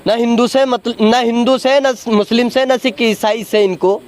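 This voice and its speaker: A man argues politics in a strikingly high-pitched voice. He is combative and insistent, repeating himself and pressing rhetorical questions as an interviewee who wants to be heard. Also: fairly even intonation, moderate pace. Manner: combative, cuts in to demand a hearing. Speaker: interviewee in a street political debate. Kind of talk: heated political argument.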